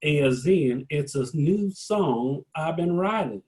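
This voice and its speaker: A man's voice: on the high side, animated, slow pace, a little mellow. A man acting a proud, touchy cowboy balladeer in a fantasy western table read.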